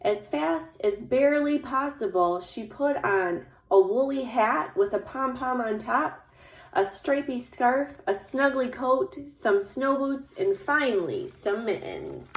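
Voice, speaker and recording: High-voiced woman reading to children, echoey room. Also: slow pace. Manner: expressive, explaining simply to children. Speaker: kindergarten teacher. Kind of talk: children's story read-aloud with questions.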